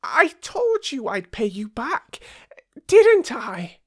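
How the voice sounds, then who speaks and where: A man's voice: very high voice, huge pitch swings, takes it slowly, no echo, clean studio sound, pitched above the performer's own voice, heavily acted. A man voicing a tiny, plucky male mouse in a storybook, chirpy and reassuring. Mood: cheerful, reassuring. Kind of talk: children's audiobook character voice.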